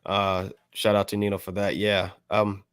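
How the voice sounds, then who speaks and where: An American man on a music podcast panel, giving a casual review in a measured, analytical, wordy manner. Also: fairly low pitch, completely flat delivery, clear, resonant tone, no echo, clean studio sound.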